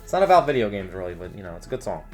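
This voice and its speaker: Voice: deep voice, big pitch swings, very fast, a little mellow, faint music behind. A co-host of a store news show, reading out event listings with casual banter and playful joking asides.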